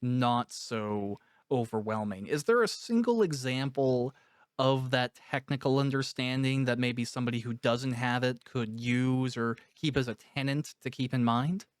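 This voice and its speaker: A man hosting an interview, putting his thoughts and questions in measured, somewhat formal phrasing. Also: medium pitch, clean tone, no echo, clean studio sound.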